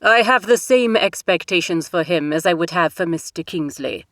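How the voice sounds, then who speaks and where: A woman's voice: lowish voice, animated, fairly bright tone, dry recording, clean studio sound. A woman voicing a stern older king speaking formally to his daughter. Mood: formal firm. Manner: measured, formal, authoritative.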